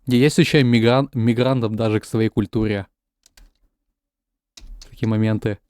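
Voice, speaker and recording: A man's voice: on the low side, fairly even intonation, moderate pace, darkish tone, clean tone, no echo. A man whose first language is Russian, chatting casually while streaming a game, often stumbling and repeating words. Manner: switches between English and Russian. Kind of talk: casual gaming stream chat.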